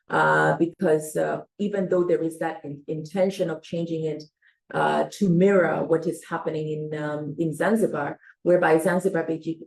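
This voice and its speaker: Low-voiced woman presenting a webinar. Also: gravelly, darkish tone, poor audio. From Switzerland. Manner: many fillers and restarts, loose and conversational.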